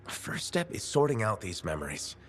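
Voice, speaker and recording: An actor playing a calm, reassuring male hero in video game dialogue. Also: on the low side, fairly quick. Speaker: player character.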